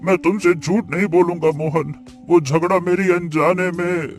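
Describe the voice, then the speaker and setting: A man's voice: high voice, fairly even intonation, moderate pace, soft background music. A man voicing a remorseful village hotel owner. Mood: pleading. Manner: emotional, pleading. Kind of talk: cartoon story dub.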